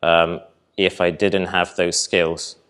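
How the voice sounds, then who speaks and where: A young man from poland, a presenter at a festival hall with a lowish voice. he talks in a loose, relaxed way, hesitating often and filling gaps with ums. his tone stays calm and low throughout. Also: takes it slowly, smooth. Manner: relaxed, hesitant, many ums, light laughs. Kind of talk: conference talk with audience Q&A.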